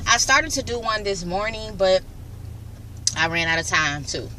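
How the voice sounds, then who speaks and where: A woman's voice: mid-range voice, lively intonation, measured pace, sharp tone, slight background noise, low-quality recording. A young adult woman, chatting casually and rambling to camera in her car on the way home. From Birmingham, Alabama, US. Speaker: vlogger.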